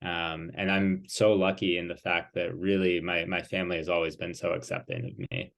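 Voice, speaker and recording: A man in an interview as an author and guest, talking thoughtfully with many pauses and fillers. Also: low voice, expressive, gravelly. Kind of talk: interview conversation.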